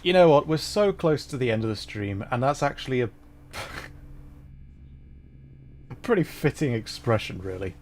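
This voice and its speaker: A man's voice: mid-range voice, lively intonation, a little slow, long pauses, darkish tone, slight background noise. A man talking casually and self-deprecatingly as he hosts a gaming livestream. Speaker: livestreamer, VTuber. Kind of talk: casual livestream chat while playing a game.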